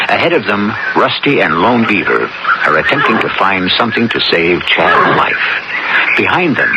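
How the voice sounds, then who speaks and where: Low, dramatic male radio narrator. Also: heavy background noise. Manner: theatrical, measured, ominous. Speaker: host and narrator. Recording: old radio broadcast with music.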